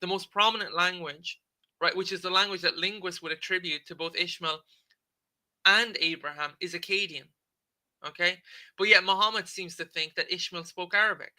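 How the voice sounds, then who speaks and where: A man talks through a text for his livestream audience in a loose lecture, tagging "okay?" onto his points and filling pauses with "um". His voice sits a little high, sounds very bright and forward, and stays conversational. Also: steady tone. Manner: conversational, frequent "okay?" checks and filler "um". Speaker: livestream debate host. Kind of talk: casual lecture reading and commenting on a text.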